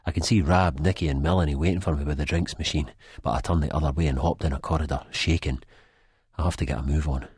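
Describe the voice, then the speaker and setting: An actor playing an anxious Scottish man in a first-person audiobook, with a deep, husky voice. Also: steady tone, quick pace, a little mellow, no room sound, clean studio sound, exaggerated voice. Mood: panicked dread. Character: anxious Scottish man narrating in sheer terror of an old enemy.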